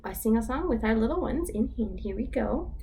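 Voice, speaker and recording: A woman leading a storytime for babies as a children's librarian, reading in an exaggerated sing-song way with gasps and hushed whispers. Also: a little high, lively intonation, brisk, creaky, a little hiss or hum.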